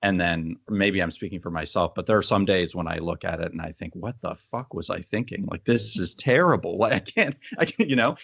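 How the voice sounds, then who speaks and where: A man with a deep, low voice speaks in casual, reflective conversation as an interviewer. He thinks aloud candidly. The sound is dry and a little dull. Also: brisk, creaky, hardly any pauses, a bit bright, no echo.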